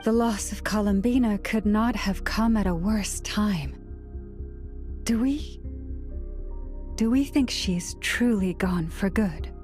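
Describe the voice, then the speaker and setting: A woman's voice: medium pitch, takes it slowly, long pauses, a little mellow, faint music behind, close to an everyday voice. A woman playing an earnest, serious, responsible leader in a fantasy video game. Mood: troubled.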